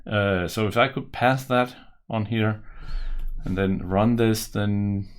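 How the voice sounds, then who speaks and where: A man's voice: fairly low pitch, steady tone, slow, clear, resonant tone. A man in his forties from Denmark with a Danish accent, giving a casual coding tutorial and thinking aloud while he types, recorded at a desk in a home office. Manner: thinking aloud while typing, self-correcting. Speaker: programming tutor.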